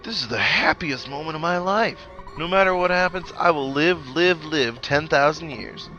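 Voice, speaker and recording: A man's voice: on the high side, animated, normal speed, pushed and bright, highs cut off, voice pushed up. A man voicing a gushing, devoted boy best friend from a video game, full of exclamations. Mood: overjoyed, gushing. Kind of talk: video game dialogue read aloud in character. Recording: game music behind.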